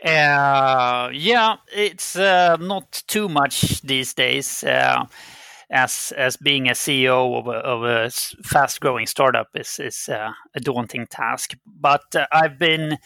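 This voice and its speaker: A middle-aged man with a Swedish accent, giving conversational interview answers. His voice is bright and creaky, recorded dry, and he proceeds haltingly, often repeating words. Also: mid-range voice, no echo. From Sweden. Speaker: interview guest, game company CEO.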